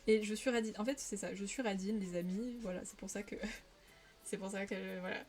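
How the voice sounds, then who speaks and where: A woman's voice: medium pitch, moderate pace, darkish tone, soft background music. A young woman from the Paris region chatting casually on a live stream while she colors, often laughing and answering viewers' messages. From Paris region, France.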